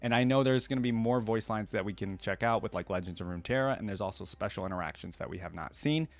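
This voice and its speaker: A man's voice: on the low side, fast. A man hosting a reaction video, switching between casual commentary and reading aloud.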